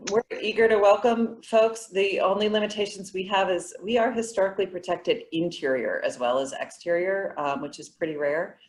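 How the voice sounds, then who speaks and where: A woman's voice: medium pitch, normal speed, hardly any pauses, low-quality recording. A woman speaking as a museum representative on a panel, explaining things with enthusiasm. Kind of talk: panel discussion answers.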